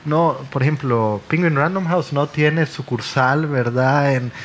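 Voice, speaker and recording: A man speaking on a literature panel in a measured way, often checking in with tag questions. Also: mid-range voice, slight background noise.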